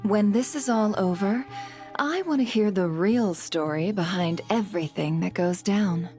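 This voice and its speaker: A woman's voice: mid-range voice, a little slow, a little mellow, faint music behind, close to an everyday voice. Actress as composed, formal female official. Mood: grateful. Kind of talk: video game voice acting.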